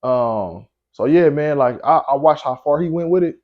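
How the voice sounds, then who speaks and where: A man's voice: expressive, normal speed, phone-quality sound. Laid-back young man from Milwaukee. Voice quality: deep voice. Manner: laid-back storytelling with slang and frequent fillers. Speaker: guest rapper. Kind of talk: casual interview chat.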